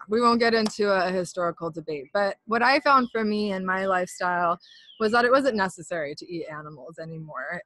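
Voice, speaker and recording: A woman's voice: mid-range voice, fairly bright tone, no room sound. A woman from California, a podcast guest, telling her story earnestly in a casual interview.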